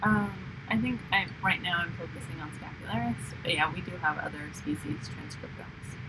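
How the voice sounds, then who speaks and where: A young woman joining a casual chat with brief, soft-spoken replies. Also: fairly low pitch, animated, moderate pace, background noise. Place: indoor office or lab room.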